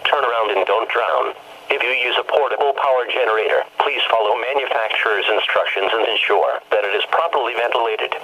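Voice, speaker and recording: A man's voice: mid-range voice, a little fast, pushed and bright, slightly rough, poor audio. A synthetic American man's voice reads a formal, impersonal scripted weather bulletin as a weather radio announcer. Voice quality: synthetic text-to-speech voice. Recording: weather radio broadcast sound.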